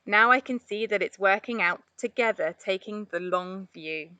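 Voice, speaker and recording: A woman's voice: mid-range voice, moderate pace, sharp tone, no room sound, lightly acted. A woman voicing a young female giraffe, playful and a bit stubborn, in a children's storybook reading. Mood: apologetic, cheerful. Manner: bold, announcing. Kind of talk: children's storybook read aloud.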